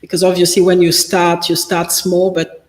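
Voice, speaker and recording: Halting French-native man in an echoey room. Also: high-pitched, monotone. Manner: halting, full of fillers and restarts. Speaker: business guest speaker. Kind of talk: interview answers.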